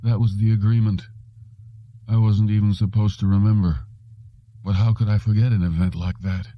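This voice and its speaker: A man's voice: on the low side, fairly even intonation, normal speed, no room sound, close to an everyday voice. A man voicing a drugged, half-conscious man who mumbles in a dull, drowsy voice in an audiobook. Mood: drugged, dreamy.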